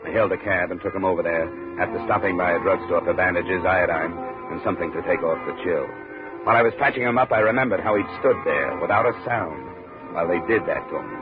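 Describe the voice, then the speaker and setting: A terse, hard-boiled detective played by a male actor narrates his case in a 1950s radio style. The low voice moves briskly and drily through a muffled vintage recording. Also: music in the background, lightly acted. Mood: terse narration. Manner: clipped, deadpan, dry.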